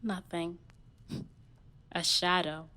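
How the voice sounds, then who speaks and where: A woman performs a restless young newlywed wife in a period audio play. She talks very slowly in a dry, detached way, with a bright edge to her voice. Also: fairly low pitch, little pitch movement, full character voice. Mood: guarded, restless. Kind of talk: stage play audio reading.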